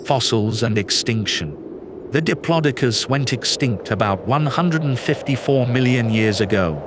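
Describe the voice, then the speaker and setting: A man reading a children's nonfiction picture book aloud in a clear, patient, teacherly way. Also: medium pitch, lively intonation, normal speed, noisy background. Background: background music.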